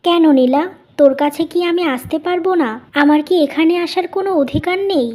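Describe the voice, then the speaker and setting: Woman acting a high-voiced, pleading young daughter-in-law. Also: normal speed, dark tone, close to an everyday voice. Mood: hurt, tearful. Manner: pleading, earnest. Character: gentle, grieving young elder daughter-in-law, self-sacrificing village mother. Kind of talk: Bengali animated family melodrama.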